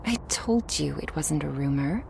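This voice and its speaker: A woman's voice: low voice, lively intonation, moderate pace, vocal fry, darkish tone, a little hiss or hum. A woman voicing a playful, teasing newly turned female vampire in an ASMR audio roleplay, drawing out her words. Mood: playful, smug.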